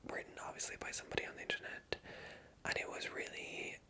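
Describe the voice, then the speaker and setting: Hushed whispering ASMR chatter. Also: fast talker. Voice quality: soft, unvoiced whisper. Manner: rambling, chatty, addresses viewers directly. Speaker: ASMR video creator and storyteller. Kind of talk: ASMR whispered casual chat.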